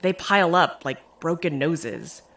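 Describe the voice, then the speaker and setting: A woman playing a calm, needling mentor in an audio drama, speaking in a wry, probing way. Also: low-pitched, unhurried.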